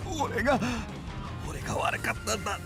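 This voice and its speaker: A man's voice: very high voice, lively intonation, fast talker, gravelly, exaggerated voice. An actor playing a desperate man screaming for a fighter in a Japanese visual novel. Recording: loud game music behind.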